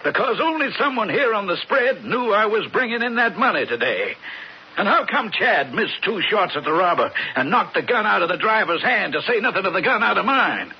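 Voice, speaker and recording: Gruff, high-pitched vengeful rancher. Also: male voice, big pitch swings, a little fast, heavily acted. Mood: furious. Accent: American. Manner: gruff, folksy, barking orders. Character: vengeful older rancher father, commanding and furious. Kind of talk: radio western drama acting. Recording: dull, noisy vintage radio drama recording.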